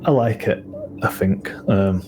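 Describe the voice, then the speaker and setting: A man with a Manchester accent, a guest musician in a casual podcast chat, talking in a low-key, rambling way with many ums. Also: measured pace, music in the background, poor audio. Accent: Northern English (Manchester). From England. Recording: audible background noise.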